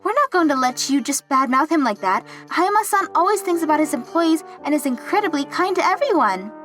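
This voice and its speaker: A woman's voice: sits high, big pitch swings, brisk, fairly bright tone, faint music behind. A woman voicing a loyal, outspoken young company employee with an assertive, reproachful manner in a manga dub. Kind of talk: manga dub character acting.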